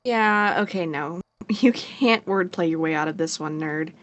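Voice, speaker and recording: Young woman, lower voice, caring sister acted. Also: measured pace, dull audio, natural voice. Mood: playful teasing. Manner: playful, teasing, sarcastic. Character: teasing, caring teenage or young adult sister. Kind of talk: naturalistic audio drama acting.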